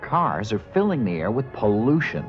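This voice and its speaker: A man's voice: on the low side, big pitch swings, normal speed. A man speaking in a public service announcement. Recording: dull lo-fi radio spot over music. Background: music.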